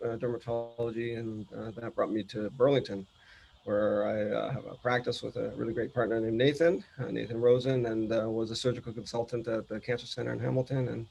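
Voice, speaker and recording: A man talking casually as an interview guest, in a halting, self-deprecating way. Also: fairly low pitch, vocal fry, darkish tone, a little hiss or hum, poor audio.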